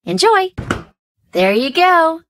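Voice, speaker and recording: A woman playing a perky, chatty and nervous young American sidekick in a video game, expressive and wry in a casual conversational way. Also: very high voice, slow, a little hiss or hum, full character voice. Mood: playful, casual.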